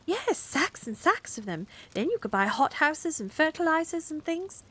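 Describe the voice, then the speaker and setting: A woman's voice: sits high, very animated, a little fast, pitched above the performer's own voice, full character voice. A woman voicing an eager, persistent young girl who keeps asking questions. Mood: eager, curious.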